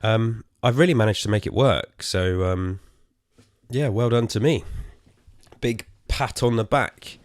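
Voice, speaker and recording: Casting: weary English podcaster, very low voice, hoarse, rambling and self-mocking, dry close sound. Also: male voice, unhurried, dry recording. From England. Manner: rambling, self-deprecating, swears casually. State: tired and hoarse. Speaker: podcast host. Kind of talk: casual solo podcast chat.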